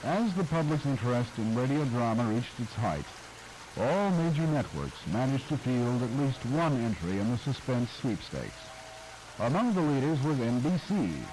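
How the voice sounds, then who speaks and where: A man narrating the history of radio drama in a measured, scripted manner. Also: medium pitch, very animated, noisy background.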